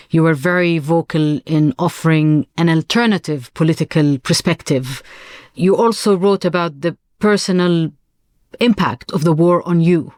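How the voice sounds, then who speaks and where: An interviewer asking calm, plain questions. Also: medium pitch, dry recording, studio quality.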